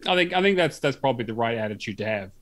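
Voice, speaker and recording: A man's voice: mid-range voice, lively intonation, very fast, a bit bright. A man hosts a laid-back gaming podcast in a middle-range voice. He rambles and restarts his sentences over and over. He swears casually along the way. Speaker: podcast host.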